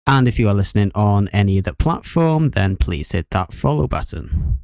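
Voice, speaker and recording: A man's voice: fairly low pitch, big pitch swings, moderate pace, a little mellow, dry recording, clean studio sound. A man co-hosting a podcast in a casual, chatty style. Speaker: podcast host.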